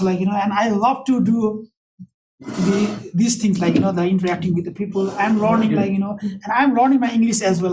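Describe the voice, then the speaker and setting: A man from Nepal with a Nepali accent, a researcher answering questions at a public board meeting, speaking haltingly with frequent fillers. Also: sits high, distant mic, noisy background. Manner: halting, many "like, you know" fillers. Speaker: university researcher. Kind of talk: meeting presentation Q&A.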